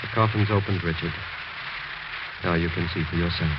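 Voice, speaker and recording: An actor playing a sober, skeptical doctor, reasoning and admonishing in 1940s radio drama style. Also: male voice, low-pitched, fairly even intonation, fairly quick, close to an everyday voice. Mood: reluctant. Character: sober, skeptical male doctor, concerned family friend. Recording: noisy, muffled 1940s radio recording.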